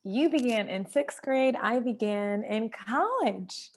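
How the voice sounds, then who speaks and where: Higher-voiced woman, emphatic. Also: animated, takes it slowly. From Georgia, USA. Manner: emphatic, rhetorical questions. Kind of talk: documentary interview.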